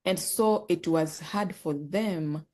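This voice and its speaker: A woman from Uganda, telling her life story as a podcast guest, earnest and halting, often repeating words. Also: on the low side, darkish tone. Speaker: guest, author and nonprofit founder.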